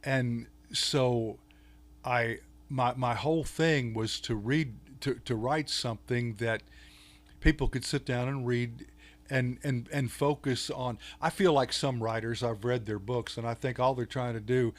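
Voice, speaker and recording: Older Texan man, unhurried, halting guest chat full of ums and restarts. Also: medium pitch, fairly even intonation. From Texas. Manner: halting, many fillers and restarts. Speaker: podcast guest, author. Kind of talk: casual interview talk.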